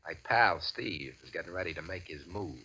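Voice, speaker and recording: A man's voice: low voice, animated, moderate pace, bright, forceful tone, breathy edge, heavily acted. An actor playing a boastful, bossy crime boss in an old radio drama, with slangy, self-assured tough-guy talk. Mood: cold, scheming. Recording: dull old radio recording.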